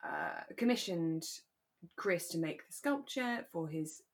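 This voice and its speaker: A woman with a British accent co-hosting a relaxed recap chat, retelling things in an expressive, conversational way. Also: fairly low pitch, slow, gravelly. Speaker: podcast co-host.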